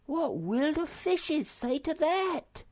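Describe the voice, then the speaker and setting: A squeaky, wailing little creature. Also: male voice, big pitch swings, takes it slowly, a little mellow, full character voice. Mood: whiny. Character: small whiny anxious male creature.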